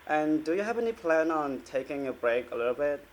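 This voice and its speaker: A man's voice: a little high, very animated, brisk. A man, a Khmer speaker, asking prepared interview questions.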